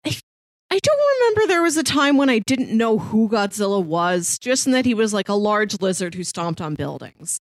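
A woman's voice: mid-range voice, very animated, normal speed, no echo. Woman chatting casually, close dry sound. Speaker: podcast co-host. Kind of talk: casual podcast chat.

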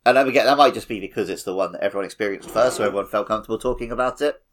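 A man's voice: on the low side, racing, fairly bright tone. A man co-hosting a gaming podcast in a casual, conversational style. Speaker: podcast co-host. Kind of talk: casual game discussion.

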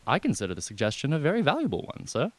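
A man's voice: a little high, huge pitch swings, no room sound. A man playing a deferential, unflappable valet who speaks formally and at length in a comic radio drama. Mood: discreetly persuasive. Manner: formal, measured, circumlocutory.

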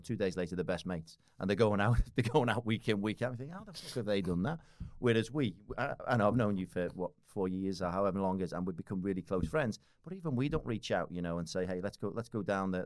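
Deep fast-talking Liverpool man. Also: a little mellow, no echo, clean studio sound. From Liverpool, England. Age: 40s. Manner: rambling, many restarts and fillers, swears casually. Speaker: podcast guest. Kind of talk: casual podcast conversation.

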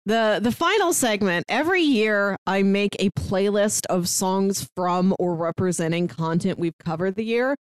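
A woman's voice: medium pitch, animated, normal speed, hardly any pauses, clean tone, dry recording. An American woman co-hosting a podcast in a casual recap chat, informal and enthusiastic, sprinkling in "like" often. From St. Louis, United States. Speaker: podcast co-host.

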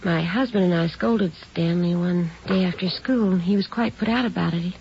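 A woman's voice: on the low side, normal speed, creaky, a little mellow. An actress playing a worried but composed mother in her forties in an old radio drama, on a dull, hissy recording. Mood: calm. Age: 40s. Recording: dull vintage radio recording with faint hiss.

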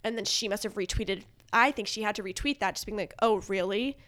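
A woman's voice: medium pitch, a little fast, a bit bright. Woman in casual pop culture chat. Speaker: podcast guest.

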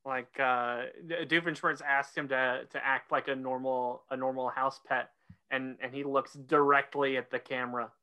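A man's voice: medium pitch, little pitch movement, moderate pace, sharp tone, clear, resonant tone. A man from Oklahoma co-hosting a podcast in casual chat, modest and a bit hesitant. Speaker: podcast co-host.

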